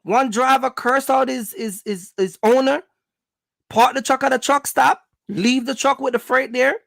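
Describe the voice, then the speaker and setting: Guy with a high gravelly voice, real casual and slangy, repeats his points to drive em home, close dry sound. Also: fairly even intonation, measured pace, fairly bright tone, no room sound. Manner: repetitive, emphatic urging; colloquial, slangy phrasing. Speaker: host giving trucking business advice to viewers. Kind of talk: casual Q&A talk to viewers.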